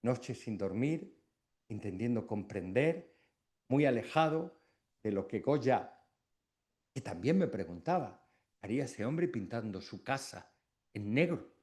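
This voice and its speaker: A middle-aged man from Extremadura giving a formal academic induction speech, reflective, with pauses between phrases. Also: mid-range voice, lively intonation, warm tone. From Extremadura, Spain.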